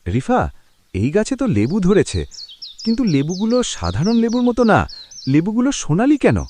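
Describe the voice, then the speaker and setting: A man voicing a humble, gentle, worried village farmer in a cartoon, earnest and plaintive. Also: high voice, huge pitch swings, normal speed, a little hiss or hum. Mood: amazed. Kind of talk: cartoon voice acting.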